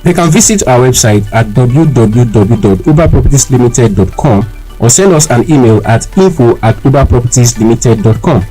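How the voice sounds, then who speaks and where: A man's voice: medium pitch, expressive, normal speed, hardly any pauses, mellow, slightly rough, low-quality recording. A man with a Nigerian accent speaking as a company spokesman in a scripted, formal radio promotion. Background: music.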